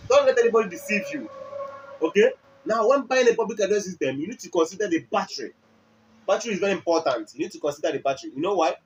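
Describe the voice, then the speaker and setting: A Nigerian man with a high-pitched voice gives an emphatic product explainer. He repeats his points and puts questions to the listener. The audio is slightly muffled, with faint noise behind him. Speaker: music equipment dealer and presenter.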